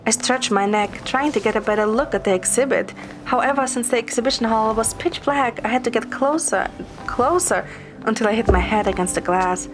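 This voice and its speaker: Woman reading out a first person story, kinda high voice, music clearly playing behind her. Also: a little fast. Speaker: story narrator, amateur voice performer. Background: clear background music.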